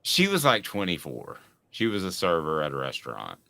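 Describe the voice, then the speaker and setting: A man's voice: sits low, huge pitch swings, moderate pace, creaky, pushed and bright, no room sound. A man in his forties hosting a sports talk show, telling rambling, self-mocking stories in a casual way. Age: 40s. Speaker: sports radio host.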